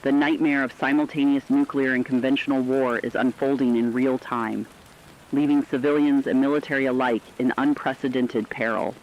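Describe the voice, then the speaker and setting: A man playing a sombre radio war correspondent, delivering a scripted news bulletin in a solemn, dramatic, deliberate way. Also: medium pitch, steady tone, lightly acted. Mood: grave, urgent. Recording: dull lo-fi radio sound, faint noise.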